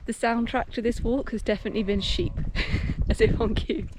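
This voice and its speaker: A woman's voice: fairly high pitch, steady tone, normal speed, noisy background. A playful, giggly English woman chatting on a countryside hike, recorded outdoors on a handheld camera. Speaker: vlogger. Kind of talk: casual vlog chat while hiking. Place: outdoors on a hill walk.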